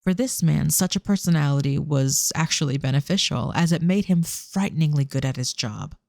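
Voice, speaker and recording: A man's voice: fairly high pitch, animated, fairly quick, vocal fry, darkish tone, no echo, clean studio sound. A man reading scripted narration for an audio drama as its storyteller.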